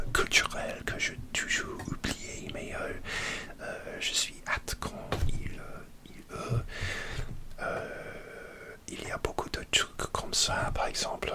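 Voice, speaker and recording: A man whispering a casual ASMR chat, halting and hesitant, often pausing to search for words. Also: medium pitch. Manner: halting, hesitant, many fillers and restarts; slips in grammar, searches for words. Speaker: ASMR creator.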